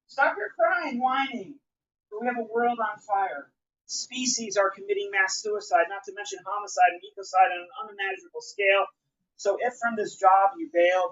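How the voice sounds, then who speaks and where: A man's voice: high-pitched, a bit bright. A man giving a live spoken-word poetry reading, with an emphatic, rhythmic, declamatory delivery. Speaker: poet. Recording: dull, lo-fi live recording.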